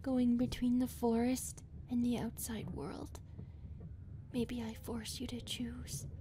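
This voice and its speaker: A woman playing a clingy, loving skinwalker monster girlfriend in an ASMR roleplay, speaking soft, halting broken English and often whispering. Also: a little high, flat delivery. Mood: anxious, hesitant. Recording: clear background ambient noise.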